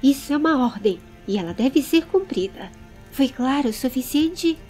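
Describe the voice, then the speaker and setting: A woman's voice: sits high, expressive, normal speed, warm tone, faint music behind. A Brazilian woman voicing a commanding, protective lady with firm maternal authority in a dramatized fantasy audiobook.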